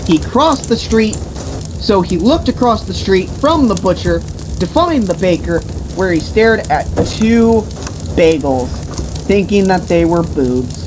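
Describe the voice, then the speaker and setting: A woman's voice: medium pitch, slow pace. A woman retelling a tabletop game as game master in a theatrical, mock-grandiose, crude comedic way. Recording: noisy room with steady background hiss.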